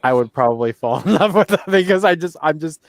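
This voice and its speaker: A man's voice: fairly high pitch, expressive, fast talker, no room sound, studio quality. A man chatting casually on a live stream, tipsy and rambling, joking at his own expense. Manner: rambling, tipsy, self-mocking. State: drunk. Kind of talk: casual chat.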